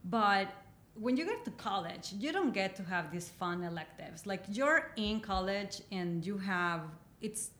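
A woman's voice: medium pitch, expressive, unhurried, fairly bright tone. A young adult woman from Mexico with a Mexican Spanish accent, chatting as an interview guest, restarting her sentences and laughing often. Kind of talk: casual interview chat. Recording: roomy echo.

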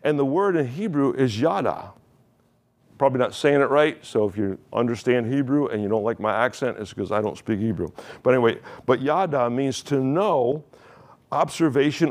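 A man's voice: mid-range voice, darkish tone. Man preaching a conversational sermon. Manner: conversational, repeats words and restarts, asks the congregation questions. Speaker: pastor.

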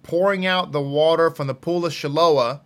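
A man with a fairly high, bright and forceful voice teaches scripture informally. He speaks as a religious teacher, leaning on key phrases and repeating them to drive the point home. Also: steady tone, normal speed. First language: English. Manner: emphatic, repeats phrases for emphasis.

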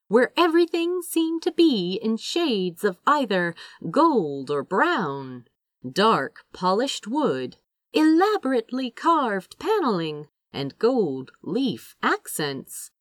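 A woman reading a story aloud as expressive scripted narration. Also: mid-range voice, no echo, clean studio sound. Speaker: audiobook-style reader of fan fiction.

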